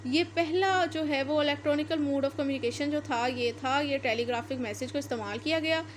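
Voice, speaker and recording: A woman's voice: sits high, unhurried, a little hiss or hum. A woman with a Pakistani accent giving an explanatory law lecture, repeating and rephrasing points in a didactic way. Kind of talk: explanatory lecture mixing Urdu with English legal terms.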